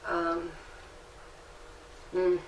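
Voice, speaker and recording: Woman, Reiki practitioner, calm, instructive, slightly low, faint background hiss. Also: extremely slow. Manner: calm, explanatory, gently instructive. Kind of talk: guiding a healing session. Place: indoor treatment room with fan. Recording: echoey room, mic at a distance.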